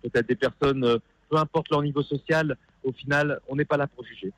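A man calling a radio show by phone, giving earnest personal testimony and haltingly repeating words as he searches. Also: medium pitch, fairly even intonation, a bit bright, no room sound. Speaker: phone-in caller, funeral worker. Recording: dull band-limited phone call.

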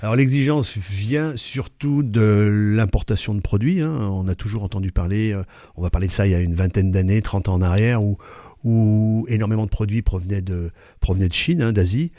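A man's voice: on the low side, steady tone, fairly quick, dark tone, no echo, clean studio sound. A man answering interview questions as a company executive, hesitant, with many fillers and restarts.

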